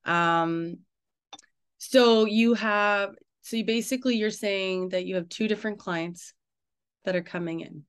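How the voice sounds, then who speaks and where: A man hosting a casual interview, talking informally and haltingly as he thinks aloud. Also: sits high, fairly bright tone, smooth. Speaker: interviewer, host.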